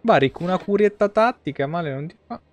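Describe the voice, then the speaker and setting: A man's voice: sits high, animated, brisk. A man, giving casual, slangy live gameplay commentary as a streamer. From Italy. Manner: colloquial, slangy. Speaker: game streamer. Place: indoor streaming room.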